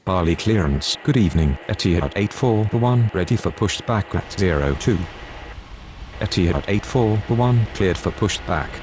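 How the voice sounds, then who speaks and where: A low-pitched man delivers procedural aviation radio calls. There is faint noise behind his voice. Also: lively intonation, moderate pace. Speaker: pilot and air traffic controller radio voice.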